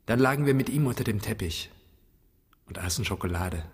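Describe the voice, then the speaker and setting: In a long, echoing space, a brooding son in his dead father's flat. His voice is low and husky. He speaks in terse, intense, poetic fragments, as in a radio play. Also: a little fast. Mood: haunted remembering.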